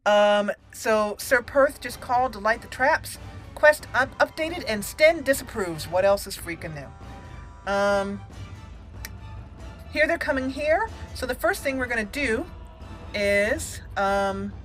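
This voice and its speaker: A woman's voice: a little high, animated, slow pace, a bit bright, soft background music. A woman hosting a let's play, playfully moving between in-character lines and casual commentary. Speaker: gaming channel host. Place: indoors, game audio behind.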